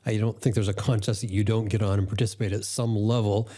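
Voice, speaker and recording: Dark-voiced, low male interviewer. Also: little pitch movement, fast. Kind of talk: conversational interview questioning.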